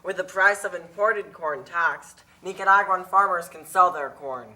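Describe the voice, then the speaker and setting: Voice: mid-range voice, moderate pace, pushed and bright, a little hiss or hum. A performer voicing a weary, struggling small corn farmer in a puppet show, earnest and plaintive.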